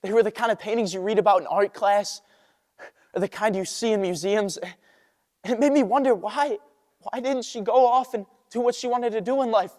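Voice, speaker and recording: Restless young dreamer, played by a teenage male, high-pitched, earnest stage delivery with dramatic pauses, ringing hall. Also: a little mellow, natural voice. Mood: impassioned. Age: teens. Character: restless idealistic young man rejecting a humdrum life. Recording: echoey hall with long reverb.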